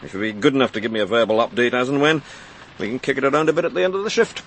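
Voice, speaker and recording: An actor playing an impatient senior police detective in a radio crime drama, with a brisk, authoritative, dry manner. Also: male voice, mid-range voice, fairly bright tone, a little hiss or hum, lightly acted. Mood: weary, businesslike. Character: impatient senior police detective, male, running a murder investigation.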